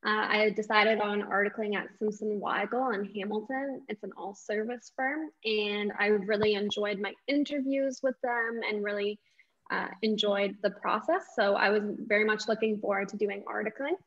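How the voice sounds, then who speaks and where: A woman's voice: medium pitch, steady tone, normal speed, a bit bright. Young woman on a dull video-call line. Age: late 20s. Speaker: interview guest, lawyer. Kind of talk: conversational interview answers. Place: small indoor room at home. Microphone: wireless earbuds on a video call.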